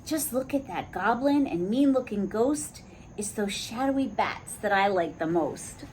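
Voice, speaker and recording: A woman voicing a bunch of excitable little monkey children at bedtime, squealing and giddy. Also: mid-range voice, measured pace, slight background noise, lightly acted, rough recording. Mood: excited.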